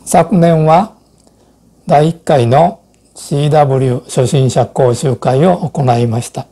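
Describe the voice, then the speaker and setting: Older guy in his 60s, mellow low-key tone, reading out his story as an instructor, measured. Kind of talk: scripted personal narration.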